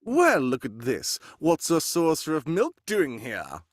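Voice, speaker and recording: A shrewd gentleman detective in an old-time radio play. His fairly high voice swoops dramatically as he probes for clues, tossing in wry asides, recorded close and dry. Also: huge pitch swings, a little slow, no room sound, full character voice. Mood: musing, wry. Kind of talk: 1940s radio mystery acting, performed live.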